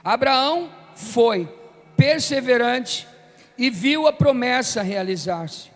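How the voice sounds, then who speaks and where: Male Brazilian preacher, fifties, high-pitched and declamatory, sermon delivery over background music. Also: animated, a bit bright. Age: 50s. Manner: emphatic, declamatory, with deliberate pauses. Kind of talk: sermon with emphatic scripture reading and call-and-response. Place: large reverberant room, live preaching event. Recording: long hall reverb, music underneath.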